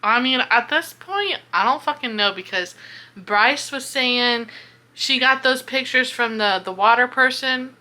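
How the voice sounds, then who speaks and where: A woman chatting casually about a book, expressive, with plenty of slang and swearing. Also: high voice, measured pace. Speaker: book reviewer and vlogger.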